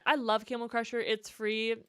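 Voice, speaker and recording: A woman's voice: on the high side, level tone, normal speed. A woman giving a casual, enthusiastic walkthrough of music production in informal, slangy language, as a musician teaching. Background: music from the track being demonstrated.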